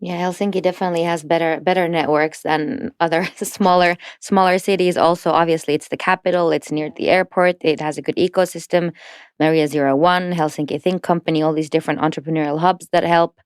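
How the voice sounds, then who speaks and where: A woman's voice: lowish voice, fairly even intonation, fairly quick, clear, resonant tone, no echo, studio quality. A woman talking as a podcast guest, fluent and explanatory, with light laughs. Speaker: podcast guest, entrepreneurship network representative. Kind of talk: casual podcast conversation.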